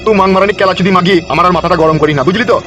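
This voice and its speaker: Man acting a high-voiced, blustering father-in-law. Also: steady tone, rapid-fire, over loud music, close to an everyday voice. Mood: angry. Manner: blustering, scolding. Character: hot-tempered, foul-mouthed village father-in-law. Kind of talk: comedy cartoon dialogue.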